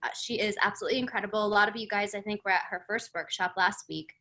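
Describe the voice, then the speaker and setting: A woman's voice: medium pitch, fast talker, bright, forceful tone. A woman organizing a workshop, introducing the speaker with enthusiasm and frequent fillers. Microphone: video call.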